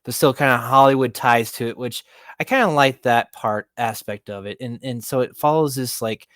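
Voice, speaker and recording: A man's voice: mid-range voice, moderate pace, a bit bright, no echo, studio quality. A man co-hosting a casual movie podcast, talking in a hesitant, rambling way and stumbling over words. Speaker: podcast co-host.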